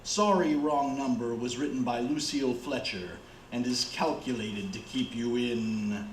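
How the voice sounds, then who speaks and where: A man narrating a scripted suspense introduction as a radio theater announcer, dramatic and deliberate. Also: mid-range voice, music in the background. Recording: echoey stage, faint background noise.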